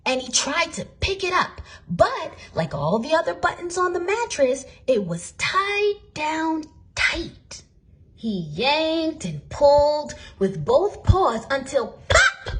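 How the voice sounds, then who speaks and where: A woman reading a storybook aloud for toddlers with expressive, dramatic and deliberate emphasis. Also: sits high. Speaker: storybook reader.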